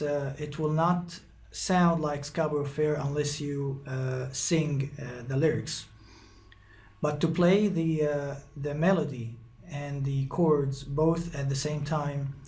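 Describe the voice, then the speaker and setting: A man's voice: a little high, darkish tone. Egyptian-accented man, hesitant guitar teacher. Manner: hesitant, many fillers. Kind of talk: course introduction.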